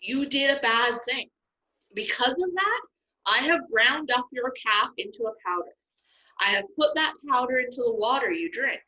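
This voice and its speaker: A woman's voice: on the high side, expressive, normal speed, bright, forceful tone, muffled recording, pitched above the performer's own voice. A woman voicing Moses as an earnest, stern male leader in a children's Bible story. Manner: pleading then stern.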